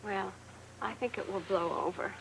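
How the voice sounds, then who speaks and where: An actress playing a composed, supportive, gently wry woman doctor in a television soap, with measured delivery. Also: medium pitch, close to an everyday voice. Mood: reassuring. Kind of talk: television soap opera acting. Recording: clearly noisy television soundtrack.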